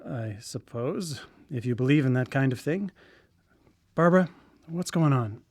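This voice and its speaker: An actress playing a friendly but skeptical scientist, wry and questioning, in conversational live radio drama acting. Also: female voice, extremely low pitch, expressive, a little slow, a little mellow, full character voice. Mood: puzzled, wry.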